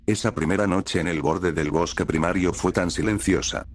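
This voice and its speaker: A man's voice: deep voice, moderate pace. A man narrating a scripted first-person horror story in Spanish.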